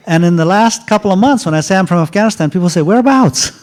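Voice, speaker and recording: A middle-aged man telling a story casually at a university event, halting with many fillers and restarts. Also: high-pitched, animated, a little mellow. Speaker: author speaking at a university event.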